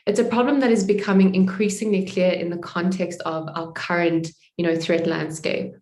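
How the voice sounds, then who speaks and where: A woman with a South African accent giving a conversational webinar presentation as a sales presenter, often filling pauses with "you know". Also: lowish voice, steady tone, a little mellow, reverberant.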